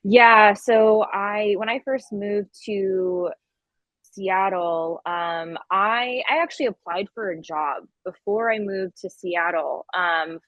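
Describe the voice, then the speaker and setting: A woman's voice: medium pitch, slow, no room sound, highs cut off. A woman in her thirties from Connecticut chatting informally as a guest in a casual interview. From Connecticut, US East Coast. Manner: informal, conversational. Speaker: podcast guest, event planner.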